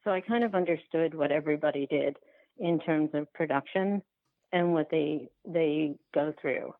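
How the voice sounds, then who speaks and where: A woman being interviewed as a filmmaker, talking reflectively and haltingly as she thinks aloud and rephrases. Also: low voice, no echo. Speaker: guest, documentary filmmaker. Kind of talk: reflective podcast interview conversation. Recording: thin, phone-like line.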